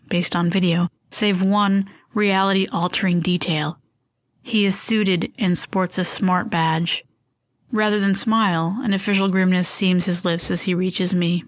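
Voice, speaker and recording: A woman playing a wry, paranoid storyteller in a scripted audio fiction, with deliberate literary phrasing. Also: on the low side, no echo, lightly acted. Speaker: storyteller and host of a fiction podcast. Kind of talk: scripted dramatic first-person narration.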